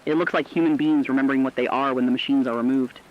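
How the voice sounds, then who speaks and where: A man's voice: mid-range voice, fairly even intonation, quick pace, lightly acted. A man playing a solemn radio presenter, reading scripted dispatches in an earnest, reflective storytelling manner. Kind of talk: scripted dramatic radio narration. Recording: dull band-limited radio sound.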